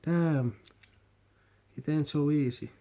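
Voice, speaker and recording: A Finnish man with a Finnish accent, whose first language is Finnish, hosting a casual toy unboxing in halting English and often repeating words as he searches for them. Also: on the high side, mellow. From Finland.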